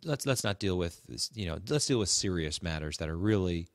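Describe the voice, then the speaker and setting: Deep-voiced man hosting a podcast, dry sound. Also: animated, fairly quick, dry recording. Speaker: podcast host. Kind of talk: conversational advice talk with a co-host.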